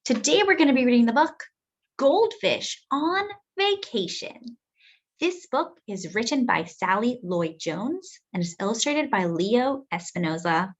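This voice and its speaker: A woman reading a picture book aloud to young children as a storytime host, expressive and speaking directly to her listeners. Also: on the high side, unhurried, dull audio.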